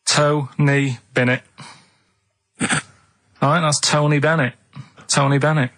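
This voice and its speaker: A man reading out quiz clues and chatting casually on a radio show, in a halting, matter-of-fact way. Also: on the high side, level tone, a bit bright. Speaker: radio show regular running a quiz feature. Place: radio studio.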